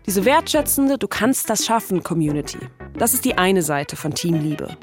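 A woman's voice: on the low side, big pitch swings, normal speed. Woman narrating over prominent music. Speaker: documentary podcast host. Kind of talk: scripted narration.

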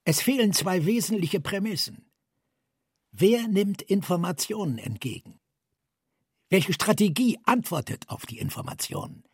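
An actor playing an earnest, declamatory East German communist functionary in a literary radio play, with a husky voice. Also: male voice, high voice, animated, measured pace, dry recording, studio quality, heavily acted. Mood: questioning, insistent.

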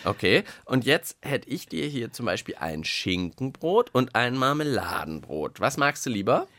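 A man's voice: mid-range voice, sing-song, unhurried, fairly bright tone, no echo. Man hosting kids' radio, dry close sound. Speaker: radio show host. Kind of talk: casual chat co-hosting a children's radio show. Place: radio studio. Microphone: close studio microphone.

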